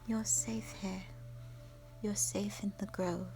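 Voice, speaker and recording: A woman playing a gentle old dragon. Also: mid-range voice, flat delivery, creaky, poor audio. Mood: reassuring. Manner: soft, slow and soothing, with many whispered lines. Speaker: voice actor in an audio roleplay. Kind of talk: audio roleplay acting. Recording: music playing under the voice.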